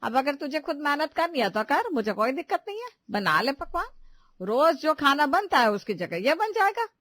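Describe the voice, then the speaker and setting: A woman's voice: high-pitched, big pitch swings, normal speed, fairly bright tone. A woman voicing a strict, suspicious household matriarch in a family story, scolding and domineering. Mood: dismissive. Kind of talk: animated Hindi family story dialogue.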